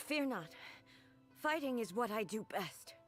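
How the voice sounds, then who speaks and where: A woman voicing a regal, stern young goddess ruler in a game, measured and commanding. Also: fairly high pitch, very animated, faint music behind. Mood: solemn. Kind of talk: game voice acting.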